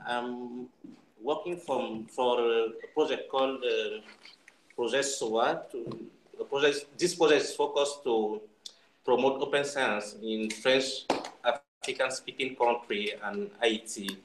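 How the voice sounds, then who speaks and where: A man with a Cameroonian accent, introducing himself haltingly on a group video call. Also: medium pitch. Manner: halting, restarts phrases. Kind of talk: unscripted self-introduction. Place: high-ceilinged indoor room. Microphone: shared device on a group video call. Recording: echoey room, noisy call with clicks.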